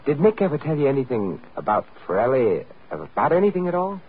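An actor playing a suave, commanding amateur sleuth, insistent and dryly witty, in an old-time radio drama. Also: male voice, medium pitch, sing-song, brisk, phone-quality sound, lightly acted. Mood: urgent, pressing. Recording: thin, noisy vintage radio broadcast recording.

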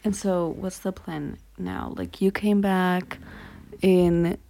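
A woman's voice: on the low side, fairly even intonation, slow pace, vocal fry, mellow. A young woman hosting a casual podcast interview, chatty and teasing, often giggling. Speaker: podcast host. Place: indoors at a family home.

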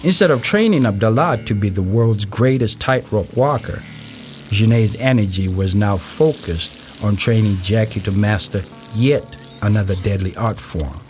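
A man reading scripted narration for an audio play in a measured storytelling way. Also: lowish voice, a little mellow. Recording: music behind the voice. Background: music.

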